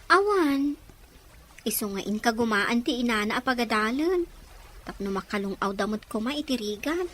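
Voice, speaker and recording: A woman's voice: a little high, lively intonation, normal speed, a bit bright, a little hiss or hum. A woman with a Filipino Ilocano accent playing a frank, practical young friend in a drama. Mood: concerned. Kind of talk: drama dialogue.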